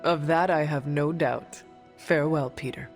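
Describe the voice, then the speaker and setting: An actor voices a solemn, resolute warrior who hunts demons in a video game. The voice is mid-pitched and grave, with formal, measured phrasing. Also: fairly even intonation, over music, natural voice. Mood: calm, formal. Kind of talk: video game cutscene dialogue.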